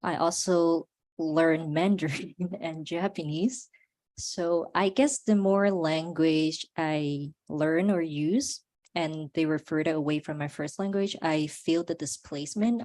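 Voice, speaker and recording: Soft-spoken low woman from Hong Kong. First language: Cantonese. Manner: soft-spoken, unhurried, many pauses and fillers. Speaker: artist speaking on a panel. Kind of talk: panel discussion answer.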